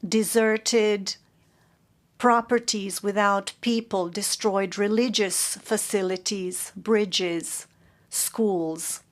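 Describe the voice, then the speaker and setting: Slow, measured woman court interpreter. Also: medium pitch, fairly even intonation. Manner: measured, halting, with self-corrections. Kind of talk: interpreting a formal statement.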